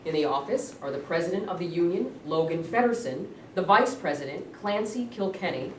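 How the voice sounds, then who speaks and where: A woman's voice: fairly low pitch, animated, a little fast, darkish tone. A woman reading stage directions as scripted narration at a staged reading. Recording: echoey room, distant mic, clear noise.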